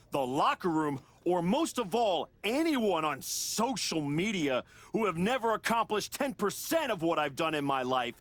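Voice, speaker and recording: A bitter, arrogant male wrestler, speaking in a fairly high voice with lively swings. He rants with contempt and sarcasm in a video game scene, recorded close and dry. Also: no echo, full character voice. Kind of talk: press conference rant in a video game.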